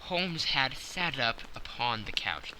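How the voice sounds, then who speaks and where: Man reading an audiobook, slow and deliberate, very bright tone, lots of pitch movement. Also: slight background noise, low-quality recording. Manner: measured, expressive. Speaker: audiobook narrator. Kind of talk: scripted audiobook narration.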